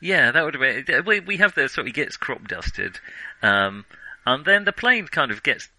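A British man co-hosting a film podcast, chatting casually in a hesitant, halting way. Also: medium pitch, big pitch swings, sharp tone, dry recording, low-quality recording.

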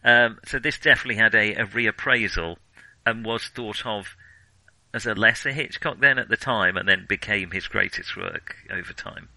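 A man's voice: on the low side, normal speed, bright, forceful tone, no room sound, low-quality recording. A man co-hosting a casual film discussion, hesitant as he thinks his way aloud through his opinions. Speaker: film podcast co-host.

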